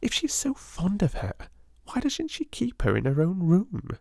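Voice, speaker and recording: Man voicing a spiteful, haughty schoolgirl, pitched up high. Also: wildly swooping pitch, unhurried, gravelly, a little mellow, no echo, studio quality, heavily acted. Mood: scornful whisper. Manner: scornful, snippy.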